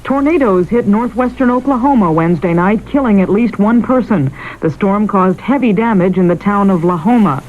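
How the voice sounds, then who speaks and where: A woman's voice: medium pitch, lively intonation, normal speed, few pauses, narrow, tinny audio. A woman with an American accent anchoring a vintage radio newscast in a scripted news-reading style. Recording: vintage radio broadcast, faint noise.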